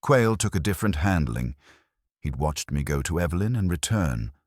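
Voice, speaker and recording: A man narrates scripted detective fiction in the first person. His voice is extremely deep. The recording is dry, with hardly any room sound. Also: very animated, normal speed, no room sound. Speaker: audiobook narrator.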